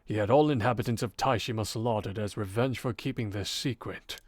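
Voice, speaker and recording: A man voicing a game character who recounts an old legend in a measured, formal, theatrical way. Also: on the low side, darkish tone, no room sound, clean studio sound, lightly acted. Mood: grave, dramatic. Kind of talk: dramatic scripted visual novel storytelling. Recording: game audio with background music.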